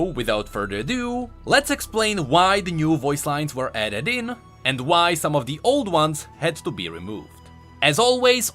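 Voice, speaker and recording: A man's voice: fairly high pitch, fairly bright tone. A man giving emphatic, explanatory scripted commentary on video game lore as an online host. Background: background music.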